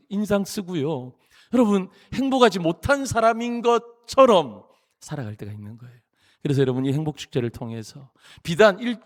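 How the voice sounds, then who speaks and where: A middle-aged man preaches in a church sanctuary, his voice sitting a little high and swinging widely as he jokes and presses his points. The long reverb of a big church hall trails every phrase. He grew up in Busan, South Korea. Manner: animated, jokey preaching with rising emphatic phrases. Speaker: pastor. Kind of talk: sermon.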